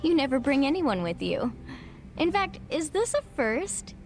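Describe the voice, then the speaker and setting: An actress playing a playful, flirtatious bar hostess in a video game, teasing and expressive. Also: female voice, sits high, unhurried, faint music behind. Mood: playful, curious. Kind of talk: dubbed video game cutscene acting.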